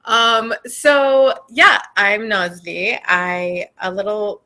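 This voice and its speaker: Bright-voiced woman, chatty interview guest. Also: mid-range voice, steady tone, extremely slow. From Iran and California. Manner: thoughtful, with frequent fillers. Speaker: podcast guest, tech program manager. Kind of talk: conversational interview.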